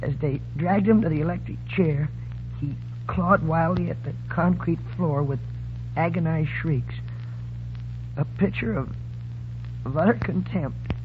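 A man's voice: fairly high pitch, a little slow, long pauses, background noise, natural voice. An actor playing a loyal, hot-headed teenage slum boy in 1930s radio drama style. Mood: grim reading aloud. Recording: muffled, humming 1930s radio broadcast.

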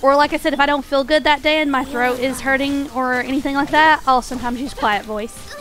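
A woman streaming a game, chatting casually and softly, a little nasal, her voice pitched up, with small laughs between remarks. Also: steady tone, fairly quick, faint music behind. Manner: soft-spoken, chatty, frequent small laughs; voice pitched up from her natural register.

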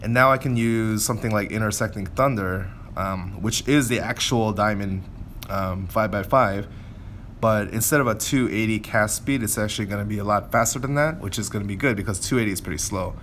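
A man with a fairly low voice talks through gameplay casually. He thinks aloud and explains things as he goes, over clearly audible background noise. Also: brisk, fairly bright tone. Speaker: gameplay commentator. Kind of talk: casual game commentary.

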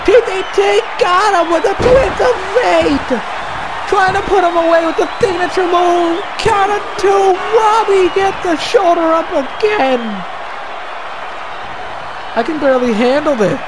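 Excitable young man yelling play-by-play. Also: very high voice, measured pace, over a noisy crowd, heavy background noise. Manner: shouting, animated, often rising to yells. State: losing his voice. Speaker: commentator. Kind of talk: excited play-by-play of a video game match.

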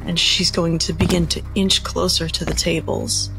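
A woman's voice: fairly low pitch, level tone, normal speed, gravelly, rough recording. A woman playing a tabletop roleplaying game, narrating what her character does as she improvises. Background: background music.

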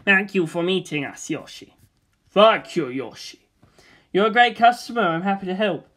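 A voice reading a screenplay aloud in a flat, deadpan way, stage directions and dialogue alike. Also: mid-range voice, normal speed. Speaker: script reader.

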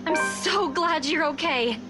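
A woman voicing a caring, anxious, sweet young woman in a visual novel, earnest and expressive. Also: high-pitched, slow, music in the background. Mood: worried.

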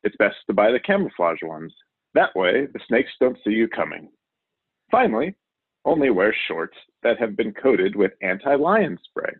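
Male reader as a showy, reckless TV adventurer for a children's storybook; husky, low, cheerfully overconfident. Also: very animated, normal speed, no echo, heavily acted. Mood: confident, instructive. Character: wild, boastful male TV survival show host. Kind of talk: children's storybook character reading. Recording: narrow, phone-like audio.

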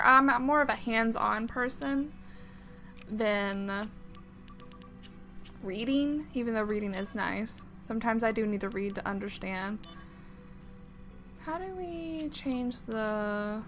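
Bright, high-voiced woman gamer. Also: lively intonation, takes it slowly, pauses often, soft background music. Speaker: let's play host. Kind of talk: casual gameplay commentary, reading on-screen text aloud.

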